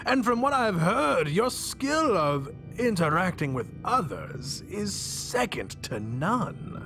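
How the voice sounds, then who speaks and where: An actor playing a flamboyant, ancient mastermind villain in a video game, pompous, grandiose and mock-polite with theatrical delivery. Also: male voice, high voice, creaky, fairly bright tone, heavily acted.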